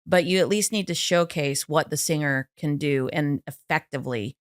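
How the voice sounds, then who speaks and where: A woman vocal coach, a guest on a podcast, telling stories in a lively, chatty way with plenty of fillers. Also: low voice, moderate pace, no echo, studio quality. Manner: animated, chatty storytelling with many fillers. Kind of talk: casual podcast interview.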